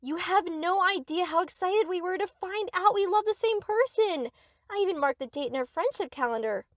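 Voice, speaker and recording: A woman's voice: very high voice, lively intonation, normal speed, no room sound, studio quality. A woman playing a sweet, eager, obsessive young yandere best friend in an anime-style audio roleplay, gushing and theatrical.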